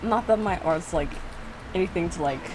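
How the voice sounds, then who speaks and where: A woman's voice: fairly low pitch, normal speed, noisy background. A young adult woman giving casual, self-mocking reaction commentary, often repeating her phrases as she goes. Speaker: gaming reaction video creator. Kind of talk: casual reaction commentary with lines read aloud.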